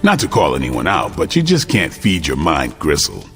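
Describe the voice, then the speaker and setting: A wry, low, lively hero. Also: male voice, normal speed, soft background music, exaggerated voice. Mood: wry, thoughtful. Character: wry, thoughtful male hero leading a book club. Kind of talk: video game cutscene dialogue, natural conversational acting.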